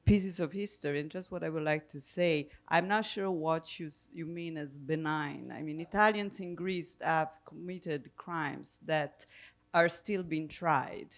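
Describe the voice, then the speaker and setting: Woman, panel speaker, spontaneous explanation, measured, precise, searching for words, live event. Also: deep voice. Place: live public event with audience questions.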